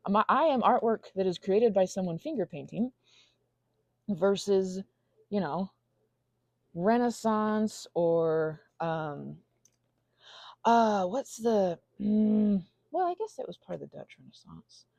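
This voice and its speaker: A woman voicing an anxious, self-deprecating little female goblin in an intimate ASMR monologue, rambling haltingly and interrupting herself with soft asides. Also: mid-range voice, animated, no room sound. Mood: musing, flustered.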